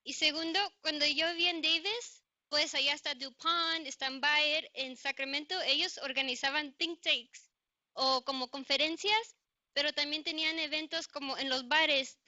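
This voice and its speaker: A young woman in the audience poses a question, her talk chatty and loose and full of filler words. Her voice is high and very bright and sharp. The recording sounds dull and lo-fi. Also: steady tone, normal speed. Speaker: audience member. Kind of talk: question from the floor.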